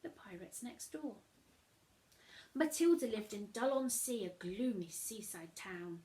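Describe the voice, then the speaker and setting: A woman reading a rhyming children's story aloud, as an expressive storyteller. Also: mid-range voice, measured pace.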